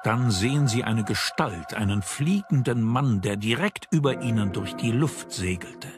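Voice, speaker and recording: A male documentary narrator with a medium-pitched voice. He reads scripted narration in a measured, dramatic storytelling manner, with music behind him. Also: expressive.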